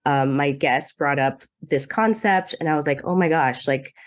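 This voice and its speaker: A woman's voice: low voice, moderate pace. A young adult woman hosting a podcast, talking casually with many fillers. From Zambia, raised in the US. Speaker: podcast host. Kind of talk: casual interview chat.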